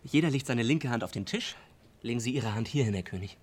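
An actress playing a young, pushy gang member who mocks and goads, in radio drama dialogue. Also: female voice, rumbling low, expressive, quick pace, darkish tone, slightly rough, heavily acted. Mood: taunting, pushy.

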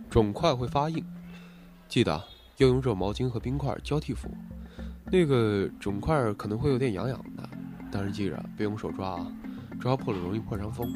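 A man's voice: medium pitch, natural voice. A man playing a sarcastic, officious doctor in an audio drama, lecturing matter-of-factly with mock seriousness. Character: sarcastic male doctor, glibly alarming, officious. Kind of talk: Chinese audio drama acting.

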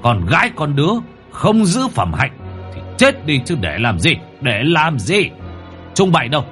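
A man's voice: low voice, lively intonation, a little fast, gravelly, sharp tone, faint music behind, pitched below the performer's own voice, full character voice. A man voicing a tyrannical, hot-tempered father and master, snapping orders in a spoken story. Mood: furious. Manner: snarling, commanding.

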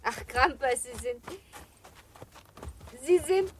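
A woman's voice: high voice, animated, takes it slowly, a little hiss or hum. An actress playing a teasing young married noblewoman in a German literary radio drama, genteel and coquettish.

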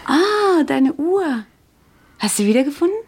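An actress playing an affectionate, talkative wife in a radio play, rambling and lively. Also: female voice, sits high, normal speed.

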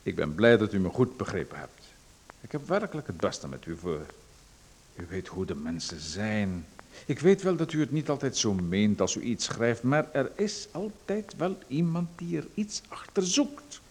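A man playing a smooth, menacing official in a radio drama, condescending and coaxing. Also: mid-range voice, very animated, normal speed, darkish tone. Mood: patronizing. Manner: condescending, coaxing then threatening.

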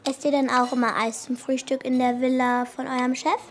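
A woman's voice: high-pitched, little pitch movement, fairly quick. A female performer in a German radio drama playing an inquisitive, friendly little girl. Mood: curious, friendly.

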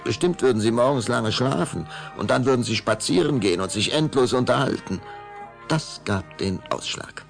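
A man narrating a scripted story dramatically, with measured phrasing and suspenseful pauses. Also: mid-range voice, creaky, soft background music.

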